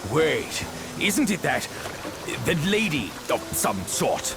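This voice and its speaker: A roguish pig demon with a rough, creaky, rather high-set voice. He drawls his playful protests with big swoops of pitch, soft-hearted under the cheek. It is cinematic fantasy game acting with music behind. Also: very animated, takes it slowly, exaggerated voice. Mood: rueful.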